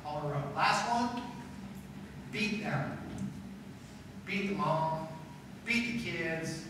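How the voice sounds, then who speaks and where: A man's voice: fairly high pitch, expressive, slow, noisy background. A middle-aged man preaching a chapel sermon to students on a school auditorium stage, talking conversationally and repeating phrases for emphasis. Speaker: pastor. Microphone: far camera in hall. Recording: echoey hall from far off.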